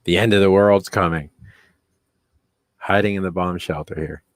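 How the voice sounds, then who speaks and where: A man's voice: low-pitched, fairly even intonation, moderate pace, dry recording, studio quality. A man hosting a livestream, chatting casually in a joking, mock-dramatic way. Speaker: live stream host.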